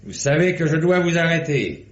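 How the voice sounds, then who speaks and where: An actor playing an elderly country sheriff in a radio mystery, folksy, fatherly and steady-nerved. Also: a little high, normal speed, lightly acted. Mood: firm, warning. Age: older. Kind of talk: 1950s French radio mystery acting. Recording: muffled, noisy vintage radio recording.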